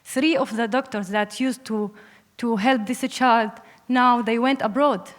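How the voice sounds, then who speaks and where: A young woman with a Syrian Arabic accent speaks earnestly in halting English from the floor of a panel. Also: a little high, fairly even intonation. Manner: halting non-native English. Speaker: audience member, film student. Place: large panel hall, public address microphone. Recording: echoey hall with long reverb.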